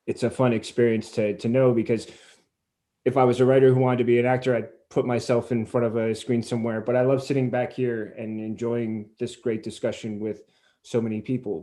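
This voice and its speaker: A man's voice: on the low side, mellow. A man hosting a conversational interview, speaking in an earnest, self-effacing way. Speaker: interview host.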